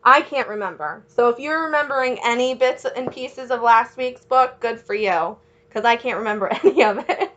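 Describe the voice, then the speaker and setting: High, bright woman reading to children. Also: dull audio. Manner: encouraging, quizzes listeners and pauses for answers. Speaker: children's read-aloud teacher. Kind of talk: picture-book read-aloud with questions to young listeners.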